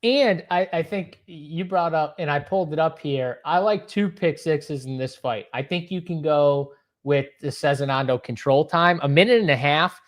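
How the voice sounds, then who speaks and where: A man with a Worcester, Massachusetts accent, co-hosting a casual fight betting chat, quick to agree and joke at his own expense. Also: fairly high pitch. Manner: agreeable, quick to chime in, self-deprecating. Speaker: MMA betting analyst.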